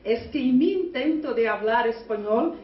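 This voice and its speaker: A Brazilian woman, a union delegate with Portuguese as her first language, gives an earnest conference speech in halting Spanish with a Portuguese accent. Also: a little high, lively intonation, a little hiss or hum. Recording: echoey, distant, muffled hall recording.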